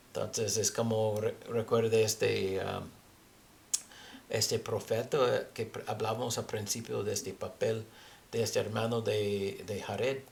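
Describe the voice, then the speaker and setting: A man with a foreign accent, a native English speaker, teaching scripture haltingly as he searches for words. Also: lowish voice, gravelly. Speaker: religious teacher. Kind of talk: Bible teaching with scripture reading.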